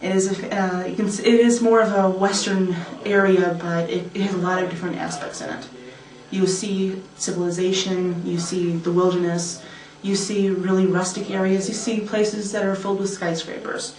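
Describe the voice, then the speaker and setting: A woman's voice: mid-range voice, level tone, normal speed, a little mellow. Woman in a roomy, distant space. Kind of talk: behind-the-scenes casual chat. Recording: echoey room, distant mic.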